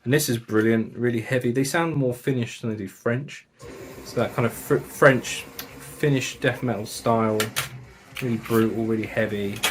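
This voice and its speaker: A man's voice: mid-range voice, steady tone, normal speed, noisy background. A man casually reviewing metal records and tapes, enthusiastic and chatty, with slang and swearing.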